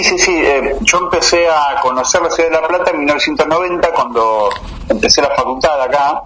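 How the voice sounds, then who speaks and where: A man in his fifties with a Rioplatense Argentine accent, a guest journalist telling local cases in a radio interview, an unhurried and detailed storyteller. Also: on the high side, a bit bright, slight background noise, low-quality recording. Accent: Rioplatense Argentine Spanish. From Buenos Aires, Argentina.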